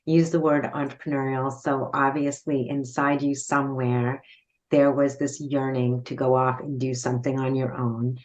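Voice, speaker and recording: A woman's voice: very deep voice, level tone, moderate pace, darkish tone. A woman hosting a podcast interview, upbeat and welcoming, asking follow-up questions. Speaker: podcast host. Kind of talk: conversational interview.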